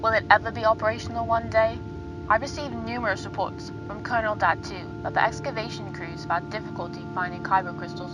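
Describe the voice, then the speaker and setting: A woman's voice: medium pitch, close to an everyday voice, low-quality recording. A woman playing a firm, skeptical government official who speaks formally and challengingly in a dramatic comic dub. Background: music.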